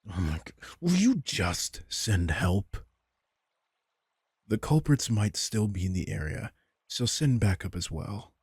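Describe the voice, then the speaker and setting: A deep, very husky male vampire king, acted by a man, protective and worried over an injured companion. He talks slowly and tenderly in an intimate audio roleplay, close on the mic. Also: big pitch swings, darkish tone, no room sound, heavily acted. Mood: tense, impatient. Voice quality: gravelly, husky.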